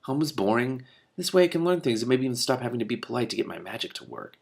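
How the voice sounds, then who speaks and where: A man's voice: mid-range voice, sing-song, quick pace, gravelly, slightly rough, exaggerated voice. A man reading the part of a blunt, impatient teenage girl in a storybook, plaintive in manner. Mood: decided.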